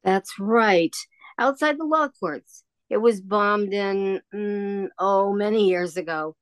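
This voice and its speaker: A woman's voice: mid-range voice, takes it slowly, smooth, dry recording, close to an everyday voice. A woman playing a kindly, rambling old shopkeeper in a radio play, hesitant and musing. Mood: wistful, chatty.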